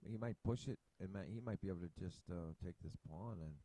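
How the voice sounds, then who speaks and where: A man talks through a chess position in a low, dark, mellow voice, musing aloud and trailing off in fillers. He sits close to a desk mic at his computer, and the sound is dry with no room around it. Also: quick pace, dry recording. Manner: rambling, thinking aloud with many fillers and restarts. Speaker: chess video host. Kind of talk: casual chess analysis commentary.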